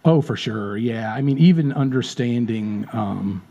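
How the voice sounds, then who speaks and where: A man's voice: mid-range voice, lively intonation, a little slow, mellow, highs cut off. A drummer in his late fifties, chatting as a guest in a casual interview, friendly and rambling, often repeating words. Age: 50s.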